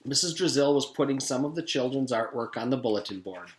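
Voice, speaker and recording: A man's voice: medium pitch, expressive, fairly quick. A man, a teacher, reading a children's story aloud as scripted narration. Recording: echoey room.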